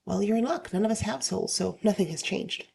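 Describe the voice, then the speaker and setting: A woman with a slightly hoarse voice casually reading online stories aloud as host and commenting off the cuff. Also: medium pitch, a little fast, mellow. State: slightly hoarse, getting over illness.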